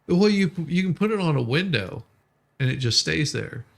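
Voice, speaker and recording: Male livestream co-host, creaky gravelly voice, halting casual storyteller who doubles back on words. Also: a little high, animated. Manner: halting, repeats words as he tells stories.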